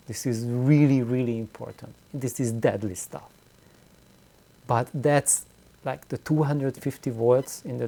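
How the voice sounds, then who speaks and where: A middle-aged man giving an unscripted, reflective explanation to viewers, haltingly and with words repeated for emphasis. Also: mid-range voice, warm tone. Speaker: audio hobbyist video host.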